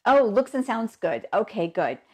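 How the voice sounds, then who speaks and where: A woman's voice: fairly high pitch, sing-song, a little slow, breathy edge. A woman with a cold hosts a casual live stream, chatting along, greeting viewers by name, her voice a little froggy. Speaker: host of a live stamping show.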